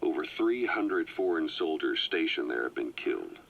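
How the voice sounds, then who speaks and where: An actor playing a calm, well-informed agent who briefs a comrade in a measured, explanatory way. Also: male voice, extremely low pitch, gravelly, husky, heavily acted. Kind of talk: video game cutscene voice acting. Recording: muffled cassette tape audio.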